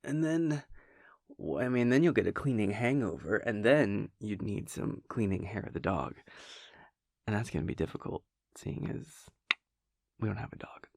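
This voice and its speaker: A man playing a playful, affectionate vampire boyfriend in an intimate audio roleplay, talking casually and teasingly in a breathy, gravelly voice that often drops near a whisper. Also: fairly low pitch, lively intonation, moderate pace, darkish tone, dry recording, studio quality. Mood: mock protesting. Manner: wry, teasing, hesitant, chuckling casual delivery.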